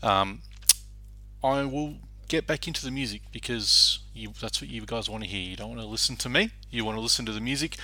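Bright-voiced man hosting a music show. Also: mid-range voice, a little fast. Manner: chatty, with fillers and restarts. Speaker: radio show host. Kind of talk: casual music show presenting.

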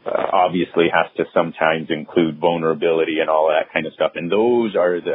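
Deep-voiced man host on a tinny line. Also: breathy edge. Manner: rambling, self-interrupting. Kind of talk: conversational interview.

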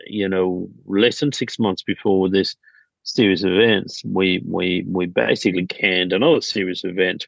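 Middle-aged male interview guest from Denmark, deep and husky, informal storyteller, slightly dull lo-fi audio. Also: fairly bright tone, dry recording. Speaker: podcast guest, business founder.

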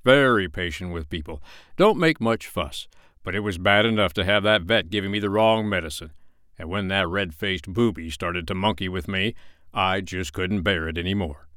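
A man's voice: deep voice, normal speed, a bit bright, no room sound, clean studio sound. A man voicing a blunt, grumbling male plow horse in a children's storybook, matter-of-fact and sure of his own patience. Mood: self-justifying.

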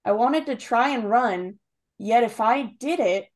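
A woman's voice: fairly high pitch, lively intonation, unhurried. A woman co-hosting a podcast, chatting playfully and reading a listener's email aloud. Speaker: podcast co-host. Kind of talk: casual group chat, reading a listener email.

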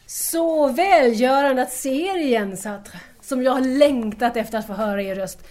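An actress plays an anxious young woman in a wartime radio play. Her voice is on the high side. She speaks with earnest, easily stirred emotion. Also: a little mellow, natural voice. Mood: delighted. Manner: earnest, agitated. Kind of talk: audio drama acting.

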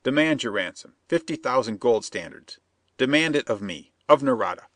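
A man's voice: medium pitch, expressive, normal speed, a bit bright, dry recording, studio quality, pitched above the performer's own voice, natural voice. A man voicing a vehement male schemer who talks in stiff, broken phrasing. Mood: insistent. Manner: insistent, persuasive. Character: scheming male plotter, vehement, stilted speech.

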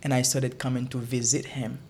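A young man from Haiti, a guest in a casual interview, who talks haltingly and often repeats words while he looks for them. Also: medium pitch, little pitch movement, darkish tone, a little hiss or hum.

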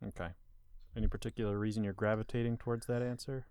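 A man's voice: on the low side, flat delivery, quick pace, a little mellow, clean tone, no room sound. A man hosting a casual comedy podcast, running a movie quiz with jokes and frequent laughter. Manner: jokey, laughs often, quiz-master phrasing.

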